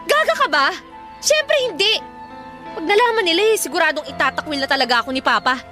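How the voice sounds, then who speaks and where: A woman's voice: high-pitched, lively intonation, fast, pushed and bright, soft background music. A woman playing an irritable, defiant elder sister and hard-up single mother in a radio drama, scolding and bluntly sarcastic. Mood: sharp, scolding.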